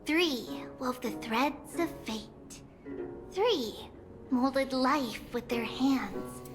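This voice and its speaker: An actress playing a graceful storyteller in a fantasy video game, speaking in a measured, lyrical, theatrical way. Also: female voice, high-pitched, animated, exaggerated voice. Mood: solemn, lyrical. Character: graceful woman storyteller reciting an ancient myth. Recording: game music loud behind the voice.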